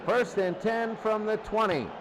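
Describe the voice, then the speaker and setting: A high-voiced man does live football play-by-play as the game's commentator. The recording carries clear background noise behind his calls. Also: a little slow.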